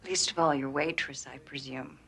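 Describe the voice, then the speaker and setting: An actress playing a proud, jilted woman in a television drama, husky and cuttingly sarcastic. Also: low voice, steady tone, normal speed, a bit bright, full character voice. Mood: mocking. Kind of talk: naturalistic television drama dialogue.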